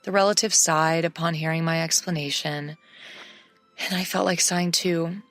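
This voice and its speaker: A woman reading a first-person story as narrator in a steady scripted telling, close to the mic. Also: fairly low pitch, moderate pace, no room sound, faint music behind.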